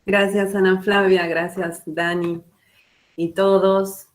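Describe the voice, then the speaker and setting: A woman from Uruguay with a Uruguayan Spanish accent, a schoolteacher presenting her work slowly and carefully at an online book launch. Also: mid-range voice, level tone. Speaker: guest teacher.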